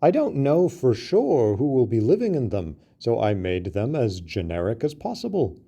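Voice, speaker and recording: A man's voice: medium pitch, very animated, moderate pace, creaky, dark tone, breathy edge, dull audio, heavily acted. A man voicing a well-meaning male elephant who explains himself patiently and reasonably. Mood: earnest.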